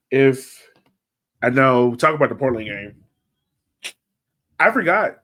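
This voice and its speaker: A man with a mid-range voice talks as a sports podcast co-host. His style is casual and conversational, with halting phrasing as he works through his thoughts. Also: mid-range voice, a bit bright.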